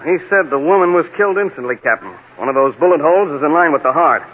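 Tough, dutiful beat sergeant played by a man, husky voice, old radio crime drama, tinny sound. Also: a little high, quick pace, close to an everyday voice. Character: streetwise male beat-cop sergeant, urgent and dutiful. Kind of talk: 1940s radio acting.

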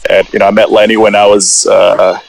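A man's voice: fairly low pitch, flat delivery, fast talker, gravelly, a little hiss or hum. A man in his forties from Puerto Rico, a podcast guest telling his life story in a loose, rambling way full of "you know" fillers. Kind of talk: casual storytelling chat.

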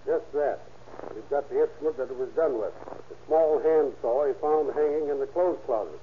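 Practical cop sidekick, played by a man for old radio crime show: curt, higher male voice, faint hiss. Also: normal speed, lightly acted. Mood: grimly matter-of-fact. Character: laconic male police officer, the detective's practical partner. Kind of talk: 1930s radio acting. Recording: tinny, hissy old radio recording.

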